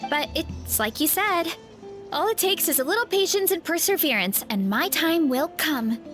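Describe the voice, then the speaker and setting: Upbeat young woman with a big appetite, earnest and hesitant, high voice, game music under. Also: animated, normal speed. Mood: grateful. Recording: game audio with music behind.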